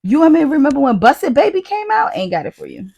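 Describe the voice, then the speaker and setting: An American woman co-hosting a podcast, telling stories casually in slangy, profane, animated talk with quoted asides. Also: sits high, fast, gravelly. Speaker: podcast co-host. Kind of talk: casual chat, storytelling.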